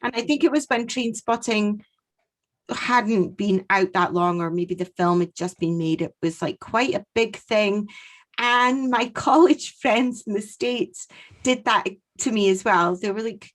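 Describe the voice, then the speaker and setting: A woman in her forties from Scotland with a softened Aberdeenshire accent, co-hosting a casual podcast chat from home into a studio mic and laughing often. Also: medium pitch, unhurried. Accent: Aberdeenshire Scottish, softened. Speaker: podcast co-host. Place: home room. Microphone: studio mic on boom arm.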